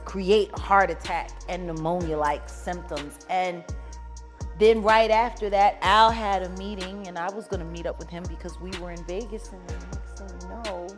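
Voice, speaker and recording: A woman's voice: fairly low pitch, fairly even intonation, moderate pace. Blunt, profane woman over music. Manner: emphatic, rhetorical questioning. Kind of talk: opinionated casual talk. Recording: music behind the voice.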